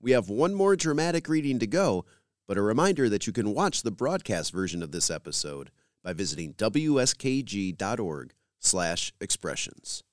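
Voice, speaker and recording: A man presents a scripted podcast in a measured announcer style. His voice sits a little low and moves up and down with lively intonation. The sound is dry and close, free of room echo. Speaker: podcast host. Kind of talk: scripted podcast host narration.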